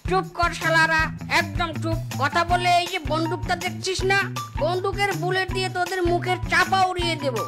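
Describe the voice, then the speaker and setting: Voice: extremely high pitch, little pitch movement, a little fast. A performer voicing a foul-mouthed, blustering male fox chieftain, a cartoon villain. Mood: angry. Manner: blustering, threatening, swearing. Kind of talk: cartoon character acting. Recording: loud music behind the voice.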